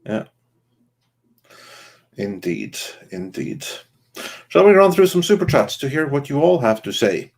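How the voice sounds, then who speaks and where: A man's voice: medium pitch, sing-song, measured pace, creaky, pauses often. A man hosting a podcast livestream and reading out viewer comments. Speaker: podcast host.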